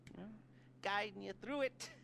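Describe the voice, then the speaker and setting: An actor playing a middle-aged American criminal mastermind in a game cutscene, creepy and self-amused, halting and stammering with a sardonic, awkward manner. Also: male voice, high voice, creaky, pushed and bright, a little hiss or hum, heavily acted. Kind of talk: video game cutscene acting.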